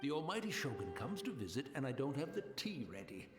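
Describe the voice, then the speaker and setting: An actor playing a gentle, courteous blind old man who keeps a tea house, rambling in an old-fashioned way in a game cutscene. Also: medium pitch, very animated, a little fast, roomy sound, faint music behind, natural voice. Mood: wistful. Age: older. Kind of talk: video game cutscene voice acting.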